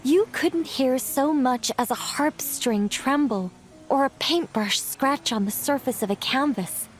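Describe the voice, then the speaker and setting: A woman playing a gentle, theatrical angel-turned-witch storyteller in a video game, speaking in a polite, lyrical, self-assured way. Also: high voice, a little slow. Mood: nostalgic, proud.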